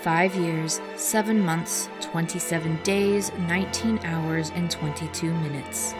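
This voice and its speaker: A woman's voice: fairly low pitch, unhurried, lightly acted. A woman playing a cunning, mocking imprisoned cult leader in an audio drama, speaking precisely and theatrically. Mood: cold, ominous. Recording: music behind the voice.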